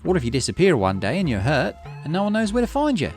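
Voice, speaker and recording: A man's voice: a little high, wildly swooping pitch, fast, a little mellow, soft background music, pitched above the performer's own voice. A man voicing a worried woman team leader who pleads earnestly with a youngster in a visual novel. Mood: pleading. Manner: earnest, beseeching.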